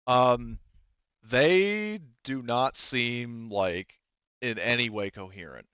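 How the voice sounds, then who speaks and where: A man working as game master, casually explaining scenes in a halting, improvised way. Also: medium pitch, a bit bright, clear, resonant tone, dry recording, dull audio. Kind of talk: improvised tabletop game narration.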